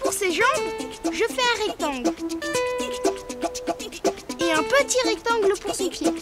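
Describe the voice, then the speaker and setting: A cheerful young cartoon rabbit with a very high, childlike voice. Drawing steps are explained slowly and helpfully, with music underneath. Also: animated. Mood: explaining. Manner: clear step-by-step, childlike explaining. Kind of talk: preschool cartoon drawing instruction.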